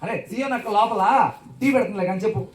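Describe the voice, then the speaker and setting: A man's voice: high voice, very fast. A man hosting a live children's puppet show, exclaiming, questioning and echoing replies back. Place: indoor church hall. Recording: echoey hall, distant from microphone.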